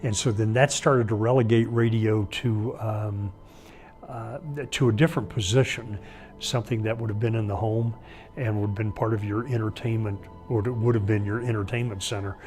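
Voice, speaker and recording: Documentary commentator, man, lower pitch, restrained melody, reflective delivery with faint music underneath. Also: steady tone, normal speed, a little mellow. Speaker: interviewee on radio history.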